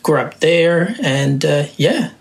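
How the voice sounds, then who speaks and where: A filmmaker in his thirties, from Somalia, answering interview questions in a relaxed way with many fillers. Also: fairly high pitch, slow, gravelly. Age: 30s. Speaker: filmmaker guest.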